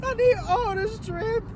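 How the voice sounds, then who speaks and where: High-voiced woman crying and swearing. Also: background noise. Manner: crying, distraught, swearing heavily. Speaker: mother. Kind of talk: emotional vlog monologue.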